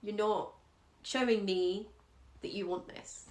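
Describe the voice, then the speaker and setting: A woman's voice: mid-range voice, fairly even intonation, takes it slowly, a little mellow. A woman giving casual advice as an astrologer guest, informal and often checking in with tag questions. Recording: roomy echo, faint background noise.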